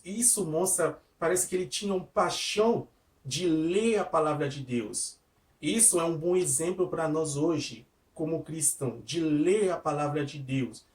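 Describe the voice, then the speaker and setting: Non-native man preaching, echoey distant sound. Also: on the high side. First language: not Portuguese. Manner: simple repetitive phrasing with frequent restarts, addresses listeners as "tu". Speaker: lay preacher giving a devotional. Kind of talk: informal devotional sermon.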